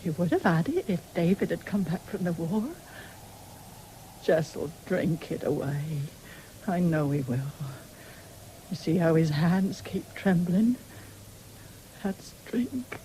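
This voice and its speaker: An actress playing an ailing, stubborn farm wife with an English accent in a 1970s radio drama, weak and insistent. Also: female voice, lowish voice, expressive, slow, long pauses, mellow, rough recording. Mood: weary, bitter. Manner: insistent, repeating demands. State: weak and failing. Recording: hissy old radio broadcast recording.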